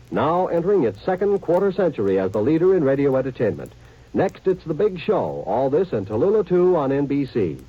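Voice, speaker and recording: Husky upbeat vintage radio announcer. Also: male voice, medium pitch. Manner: brisk, upbeat promotional delivery. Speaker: network announcer. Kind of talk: 1940s radio promo announcing. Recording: dull vintage radio sound.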